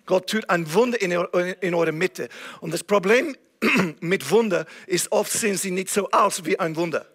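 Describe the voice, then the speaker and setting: A man's voice: high voice, normal speed, a bit bright. A man from England preaching a sermon in German with an English accent, earnest and now and then searching for words. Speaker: guest preacher.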